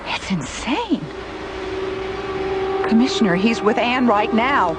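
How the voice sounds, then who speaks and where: A woman's voice: on the high side, expressive, slow, very noisy. An actress playing an anxious, urgent female officer on a space station in a radio drama. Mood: troubled. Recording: music behind dialogue.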